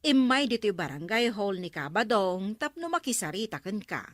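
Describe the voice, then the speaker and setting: A woman's voice: mid-range voice, big pitch swings, moderate pace, no echo, clean studio sound, close to an everyday voice. A woman with an Ilocano accent playing a jolly, coaxing peacemaker in a village comedy drama.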